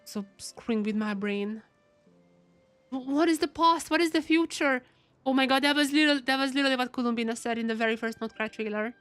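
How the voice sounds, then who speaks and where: A woman streams a game and reacts out loud in a high voice. She chats casually and excitably, doubling back on words. The sound is dry, with faint music underneath. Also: fairly quick, no room sound. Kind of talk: live reaction commentary, casual chat.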